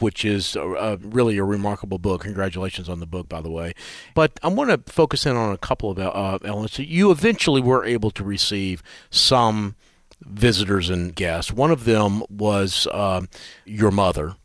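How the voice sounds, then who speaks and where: A man with a fairly low voice hosts the interview, talking fast and rising sharply in pitch when he asks things. Dry, close studio sound. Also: dry recording. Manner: quick, animated, jumps in pitch on questions. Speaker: podcast host. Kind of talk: interview questions.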